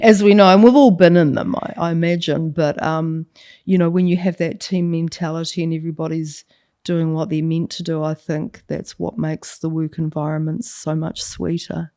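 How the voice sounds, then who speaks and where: A woman's voice: deep voice, fairly even intonation, moderate pace, warm tone, dry recording, studio quality. A middle-aged woman with a New Zealand accent, speaking as a podcast guest in a candid, reflective way. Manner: reflective, earnest, laughs easily. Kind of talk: candid conversational interview. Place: studio with a couch.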